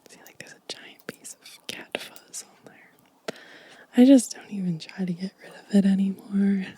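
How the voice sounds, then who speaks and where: A woman doing a gentle, casual ASMR show and tell, shifting between breathy whispering and soft-spoken talk close to the microphone. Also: mid-range voice, measured pace. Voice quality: breathy whisper mixed with soft voiced speech. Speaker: ASMR video host. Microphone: close microphone, dry sound.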